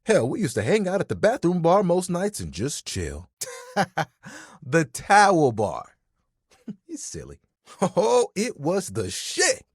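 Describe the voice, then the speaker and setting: A man's voice: high-pitched, huge pitch swings, slow pace, husky, no echo, clean studio sound, full character voice. A man voicing a friendly, vain, dramatic living towel in a video game, chatty and playful with an easily wounded manner. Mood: nostalgic, fond. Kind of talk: animated, conversational game character acting.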